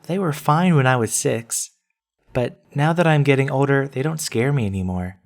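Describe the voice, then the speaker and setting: A man's voice: mid-range voice, normal speed, voice pushed up, lightly acted. Man putting on a whiny, sceptical ten-year-old boy. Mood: unimpressed, pleading.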